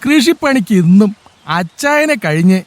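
A man's voice: high-pitched, sing-song, unhurried. A man playing an admiring village farmer and friend in a radio drama, talking eagerly with a Kerala Malayalam accent.

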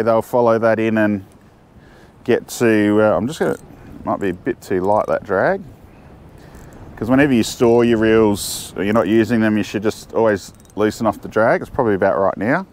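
A middle-aged Australian man chatting casually to camera while fishing from a jetty, thinking aloud and restarting his sentences. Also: on the low side. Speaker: fishing vlogger. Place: outdoors on a windy jetty.

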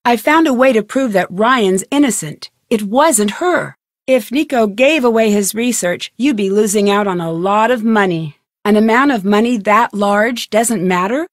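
An actress playing a poised, inquisitive young woman detective in a video game, questioning in a measured way. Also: medium pitch, no room sound, clean studio sound, close to an everyday voice. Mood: serious, probing. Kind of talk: video game character voice acting.

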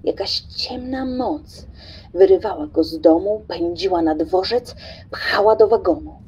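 Husky, mellow woman narrator, very expressive. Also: on the low side, a little slow, low-quality recording. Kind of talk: dramatic scripted literary narration.

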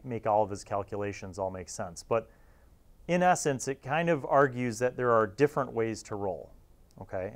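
A man in his forties with a slightly low voice hosts a casual review. He talks in an informal, wry, conversational way, leaning on words for emphasis. He is recorded on a lapel mic in a small studio. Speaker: host reviewing old gaming magazines. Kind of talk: casual, informal commentary to camera.

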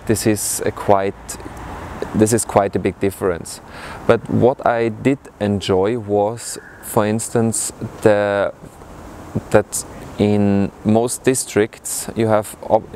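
A young man with an Austrian accent speaking to camera outdoors about his studies, often pausing to find his words. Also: lowish voice, darkish tone, a little hiss or hum. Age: young adult. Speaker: international student. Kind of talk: testimonial to camera. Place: outdoors in a city courtyard. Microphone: lapel mic.

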